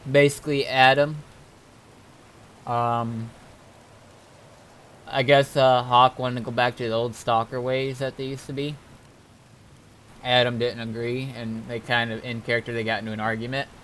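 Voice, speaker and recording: A man chatting casually on a game livestream, telling stories in a laid-back, halting way. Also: mid-range voice, flat delivery, fairly bright tone. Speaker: game streamer. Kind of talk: casual livestream chat, recapping roleplay events.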